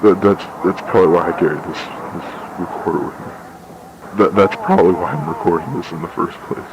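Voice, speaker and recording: A man's voice: deep voice, steady tone, moderate pace, dull audio, background noise. A man playing a drunk, stammering man in confession. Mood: shaky, guilty. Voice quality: stammering, shaky, with nervous laughs. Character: drunk, guilt-ridden man making a night confession. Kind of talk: voice recording in a horror web series.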